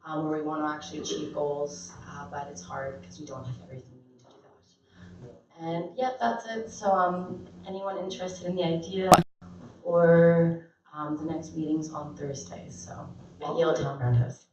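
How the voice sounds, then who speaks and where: Hesitant woman pitching her meetup. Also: fairly even intonation, moderate pace. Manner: hesitant, many fillers. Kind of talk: informal pitch. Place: meetup room indoors. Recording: echoey room, distant dull mic, faint hiss.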